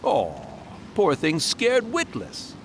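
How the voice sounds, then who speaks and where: Theatrical, wildly swooping yokai spirit. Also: male voice, fairly high pitch, huge pitch swings, a little hiss or hum, exaggerated voice. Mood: playful. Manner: theatrical, grandiose, drawn-out. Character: Rakusai, theatrical male yokai spirit playing a gloating villain. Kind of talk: video game voice acting.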